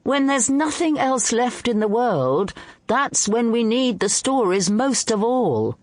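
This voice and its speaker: A woman voicing a gentle, crafty old male storyteller who speaks mildly and kindly. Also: on the high side, very animated, slow pace, no echo, clean studio sound, voice pushed up. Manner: mild, kindly.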